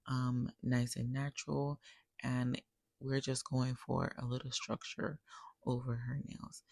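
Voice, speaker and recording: A tired woman, a nail technician, talks viewers step by step through her work in a relaxed tutorial voice-over. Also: a bass voice, vocal fry, dry recording. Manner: relaxed step-by-step explaining, addresses viewers as guys. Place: recorded separately as a voice-over.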